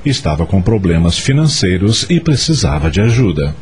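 A man's voice: sits low, big pitch swings, normal speed, a little mellow, a little hiss or hum. A man narrating a radio soap opera in a scripted, dramatic storytelling style. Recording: music under the voice. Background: background music.

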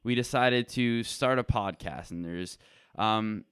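A teenage boy with a low, smooth voice explains his podcast's background in measured, hedging sentences. The sound is dry and close. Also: no echo. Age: teens. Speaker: podcast co-host. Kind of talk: casual explanation.

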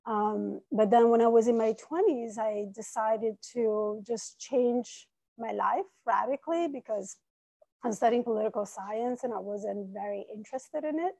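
A woman in her forties, raised in Iran and Italy, sharing her personal story as a podcast guest in an earnest, reflective, soft-spoken way. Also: a little high, moderate pace, creaky, low-quality recording.

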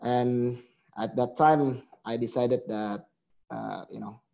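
A man's voice: medium pitch, steady tone, takes it slowly, vocal fry, warm tone, dull audio. A middle-aged man, a guest in an interview, talking reflectively with hesitant restarts. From Indonesia. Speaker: guest, company chief executive. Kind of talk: conversational interview.

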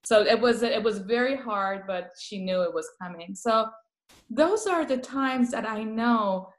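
A woman's voice: fairly high pitch, a little slow, echoey room. A woman from Iran with a Persian accent, speaking as a palliative care guest and telling stories with laughs. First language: Farsi. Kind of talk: casual interview talk.

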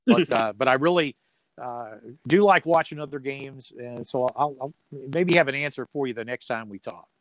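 A man's voice: medium pitch, normal speed, a bit bright, no echo, low-quality recording. A man speaking as a sports announcer being interviewed, chatting casually in a folksy, joking way with dry humour. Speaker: radio sports play-by-play announcer as interview guest.

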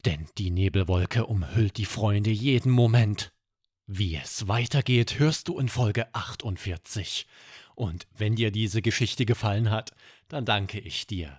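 A man narrating a scripted bedtime story for children as an expressive podcast storyteller. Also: lowish voice, breathy edge, no echo, clean studio sound.

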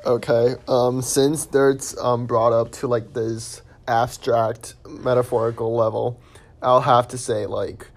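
A man's voice: medium pitch, level tone, slow. A young man rambling with lots of fillers and giggles in a casual podcast chat. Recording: loud noisy background with clicks.

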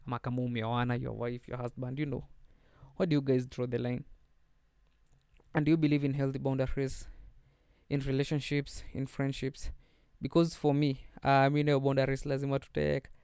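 Young Kenyan male podcast host, laid-back code-switching chat, mellow, fairly flat delivery, dry close mic. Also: medium pitch, brisk, clean tone, dry recording. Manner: mixes English and Swahili slang, chatty and animated. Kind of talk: casual solo podcast chat.

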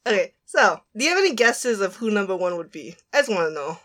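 A woman's voice: medium pitch, very animated, quick pace, fairly bright tone. A young woman co-hosting a casual podcast, earnestly explaining her ranked list with some dry teasing. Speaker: podcast co-host.

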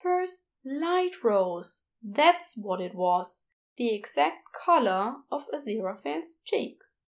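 A woman's voice: fairly high pitch, sing-song, takes it slowly, hardly any pauses. A woman narrating a scripted story as an audiobook-style reader.

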